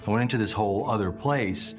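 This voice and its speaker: Very deep-voiced man recalling his work. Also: quick pace, music in the background. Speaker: voice actor being interviewed. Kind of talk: interview recollection.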